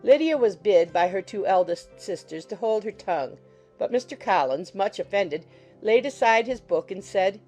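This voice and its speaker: A woman's voice: medium pitch, animated, normal speed, dark tone, soft background music. A woman reading scripted narration from a classic novel as an audiobook narrator.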